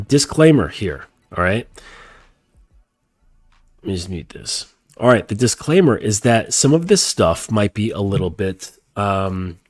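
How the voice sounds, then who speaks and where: A man's voice: on the low side, dry recording, studio quality. A man hosting his show while congested from a cold, talking nasally in a rambling casual way with lots of fillers and restarts. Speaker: podcast host. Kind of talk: casual chat.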